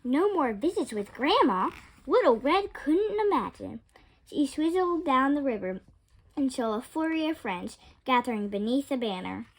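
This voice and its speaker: A woman reading a children's picture book aloud with expressive, dramatic storytelling. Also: sits high, moderate pace, low-quality recording. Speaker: storytime reader.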